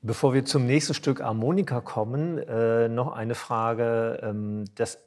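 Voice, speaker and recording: A man's voice: medium pitch, fairly even intonation, a little slow, darkish tone. A man moderating a semi-formal stage interview, feeling his way through his phrasing with hesitation.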